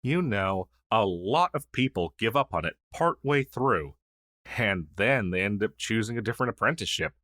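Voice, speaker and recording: A man's voice: on the low side, animated, moderate pace, a bit bright, no echo, clean studio sound. A man playing a friendly, down-on-his-luck crow demon who was once a witch's familiar, in a fantasy audio roleplay, speaking earnestly with hesitant stammers. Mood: warm, explanatory.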